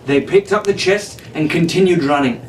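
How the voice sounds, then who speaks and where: Roomy, higher-voiced man telling an adventure story. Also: moderate pace. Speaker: audiobook narrator reading in character as a pirate captain. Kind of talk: dramatic scripted storybook narration. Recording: roomy, distant, noisy home-style recording.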